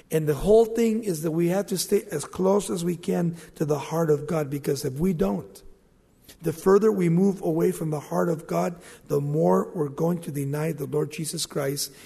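A man's voice: on the high side, vocal fry, a little mellow, breathy edge. A male pastor preaching an evening sermon in a church, asking the congregation questions and building emphasis. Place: church sanctuary. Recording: long hall reverb.

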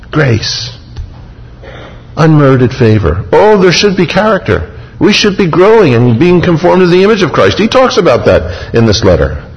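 A man's voice: medium pitch, dull audio. A man preaching a sermon, emphatic, retelling scripture in a vivid first person. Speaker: pastor. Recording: long hall reverb.

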